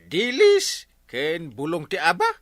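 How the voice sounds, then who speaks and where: Here is a man acting as a touchy village husband from a comedy radio drama, with an Ilocano accent. His voice is bright and forceful, swings wildly in pitch and sounds close and dry. He blusters and exclaims as he picks a fight. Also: huge pitch swings, takes it slowly, dry recording, full character voice. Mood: furious, confronting. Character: hot-tempered village husband, quick to take offence.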